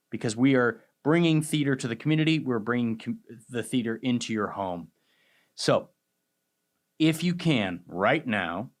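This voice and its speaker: A man hosting a show and making an informal donation appeal in an earnest, conversational way. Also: mid-range voice. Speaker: theater company host making donation appeal.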